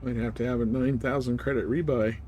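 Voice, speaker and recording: A man's voice: medium pitch, fairly even intonation, brisk, dark tone, a little hiss or hum. A man livestreaming a space game, giving casual, offhand commentary and chatting with viewers in short remarks. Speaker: live streamer playing a space game.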